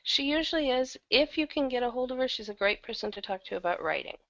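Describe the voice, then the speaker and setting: A woman chatting casually as an interview guest, with a gravelly creak in her voice, over a lo-fi online call. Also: high voice, animated, fast, a bit bright. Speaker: interview guest, audio drama producer. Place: online video hangout.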